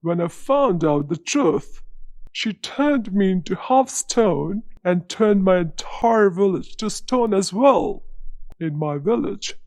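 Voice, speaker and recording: A woman's voice: mid-range voice, darkish tone, no room sound, pitched below the performer's own voice. A woman voicing a king half turned to stone, telling his tale in a grave, solemn way.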